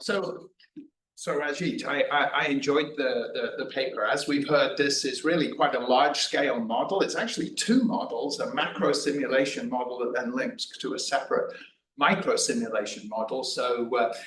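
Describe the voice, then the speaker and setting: A man's voice: medium pitch, normal speed, a bit bright. A man giving a chatty, self-deprecating discussion of a paper at a conference, often restarting his words. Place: conference room. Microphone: away from the room microphone. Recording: roomy, distant mic, faint noise.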